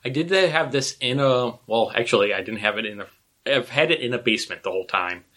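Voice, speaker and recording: A man's voice: medium pitch, lively intonation, a little fast, bright, forceful tone. A man co-hosting a podcast, speaking in an easygoing way and often murmuring agreement. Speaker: podcast co-host. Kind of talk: casual podcast chat.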